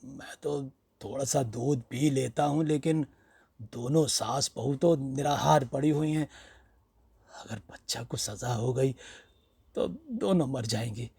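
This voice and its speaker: A man voicing a hearty, flattering old villager in a storybook reading, coaxing and pleading in a familiar, emphatic way. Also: medium pitch, unhurried, vocal fry, husky, dry recording, full character voice. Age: older.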